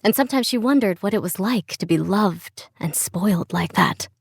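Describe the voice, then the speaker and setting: Female audiobook narrator: literary fiction, measured and expressive, medium pitch, dead-dry studio sound. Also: gravelly, slightly rough, dry recording.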